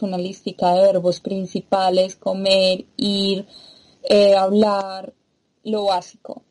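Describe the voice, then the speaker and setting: A woman's voice: medium pitch, darkish tone, poor audio. A woman from Latin America, a guest in an interview, explaining her ideas in a thoughtful way with small fillers. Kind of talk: interview conversation.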